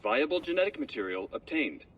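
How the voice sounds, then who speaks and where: An actor voicing an impersonal laboratory computer in a game, announcing status in a clinical, procedural way. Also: male voice, on the high side, big pitch swings, a little fast. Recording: muffled, distant, faint noise.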